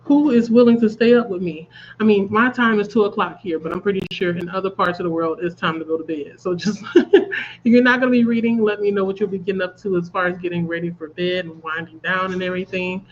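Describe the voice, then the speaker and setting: A woman's voice: medium pitch, fairly even intonation, quick pace, muffled recording, slight background noise. A congested woman chatting casually as host of a reading livestream and laughing at her own jokes. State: congested from a cold. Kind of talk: casual chat. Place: at home.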